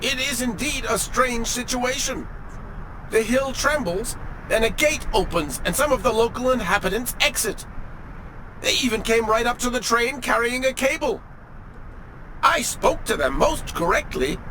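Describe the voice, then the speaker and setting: Prim robot train driver, very high pitch, stiffly polite, fussy, game dialogue, noisy outdoor background. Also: male voice, expressive, normal speed, sharp tone, heavily acted. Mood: puzzled. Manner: prim, precise, stiffly formal diction. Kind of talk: video game voice acting.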